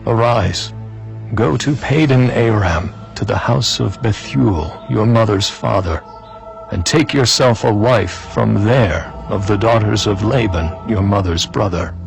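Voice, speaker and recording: A man playing an old Hebrew patriarch father in a scripture drama, grave, measured and solemn. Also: fairly low pitch, gravelly, faint music behind. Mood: solemn blessing.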